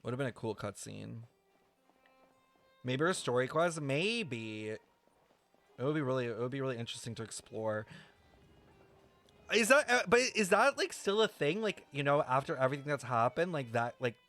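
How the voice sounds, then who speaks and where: A young man giving casual reaction commentary on a game, expressive and slangy, thinking aloud. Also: medium pitch, moderate pace, long pauses, faint music behind.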